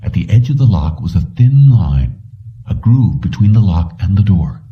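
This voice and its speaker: A man's voice: very animated, moderate pace. A man reading a science fiction story aloud as scripted audiobook narration. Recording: distant, dull low-fidelity audio.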